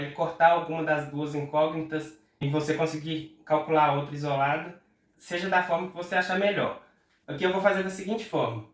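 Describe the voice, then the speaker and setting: A Brazilian man with a nasal, stuffed-up voice from a cold, pitched a touch high, talking through exam arithmetic one step at a time. He speaks patiently, like a tutor, in a room with noticeable echo. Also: a bit bright, distant mic. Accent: Brazilian Portuguese. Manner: patient, talks through arithmetic aloud slowly. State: congested from a cold. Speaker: math tutor. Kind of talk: step-by-step exam problem walkthrough.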